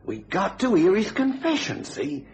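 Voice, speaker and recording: A man's voice: high-pitched, huge pitch swings, measured pace, darkish tone, heavily acted. An actor playing a folksy, plain-spoken policeman in an old-time radio thriller. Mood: relieved, confiding. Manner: folksy, colloquial.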